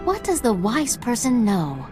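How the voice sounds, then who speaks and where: A woman's voice: on the high side, wildly swooping pitch, a little slow, over music. A woman voicing a playful, lonely ancient female spirit who teases a visitor into a game of questions in a video game. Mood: teasing. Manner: whimsical, teasing, playful.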